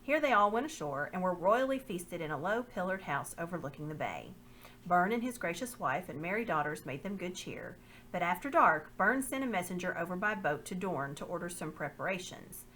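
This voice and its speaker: A woman reading a children's novel aloud with expressive, measured narration. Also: lowish voice, slight background noise. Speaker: reader.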